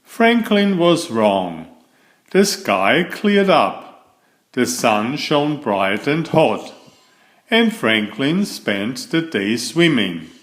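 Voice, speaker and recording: A man reading a children's picture book aloud in a deliberate, expressive storytelling style. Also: medium pitch. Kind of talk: read-aloud storybook narration.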